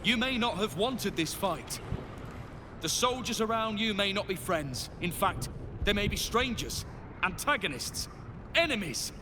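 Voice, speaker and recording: High-pitched, earnest war leader. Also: male voice, very animated, background noise. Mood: rousing resolve. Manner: oratorical, stirring, measured. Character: earnest, rallying male military leader. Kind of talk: scripted rallying speech.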